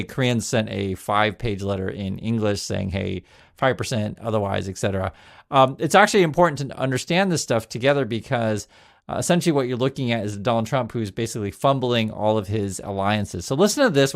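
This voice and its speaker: Chatty man with a gravelly voice. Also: on the low side, brisk. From United States. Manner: chatty, restarts words, reads quotes aloud. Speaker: news commentary host. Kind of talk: casual spoken commentary.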